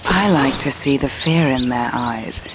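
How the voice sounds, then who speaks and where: Low, slow, scornful warrior woman. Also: very noisy. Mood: scornful, menacing. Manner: haughty, menacing. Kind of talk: video game voice acting.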